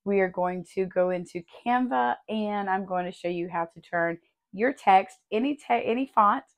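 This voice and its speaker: A woman hosting a craft tutorial, her voice still a little raspy as she recovers, talking in a friendly, chatty way and explaining each step. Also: medium pitch. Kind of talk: casual tutorial walkthrough.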